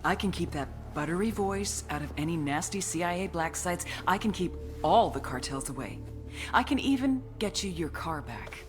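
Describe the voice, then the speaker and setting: An actress playing a cool female intelligence agent who coaxes with controlled, quiet menace in game dialogue. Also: fairly low pitch, lively intonation, moderate pace, lightly acted. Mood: coaxing, menacing. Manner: coaxing, controlled, quietly threatening. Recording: dialogue over music.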